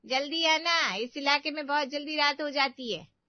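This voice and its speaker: A woman performs a meddling older aunt from a cartoon family tale. Her voice sits fairly high and she nags with overdone sympathy, always finding fault. The sound is very dry and a little muffled. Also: moderate pace, no room sound, lightly acted. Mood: nagging. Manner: complaining, lecturing, exaggerated sympathy. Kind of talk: Hindi family cartoon story voice acting.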